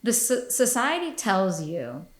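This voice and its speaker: A woman's voice: a little high, very animated, takes it slowly. A woman hosting a podcast in casual chat, blunt and profane, laughing often. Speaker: podcast host. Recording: echoey room.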